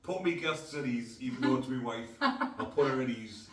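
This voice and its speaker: A man's voice: mid-range voice, animated, moderate pace. Blunt man, echoey distant mic. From North East England. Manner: blunt, rambling storyteller. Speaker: podcast host. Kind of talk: casual podcast chat.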